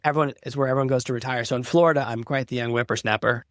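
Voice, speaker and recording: A man's voice: mid-range voice, fairly even intonation, racing, no room sound, studio quality. Chatty man in his forties. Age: 40s. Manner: casual, chatty, joking self-deprecation. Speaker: podcast host. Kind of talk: casual interview chat.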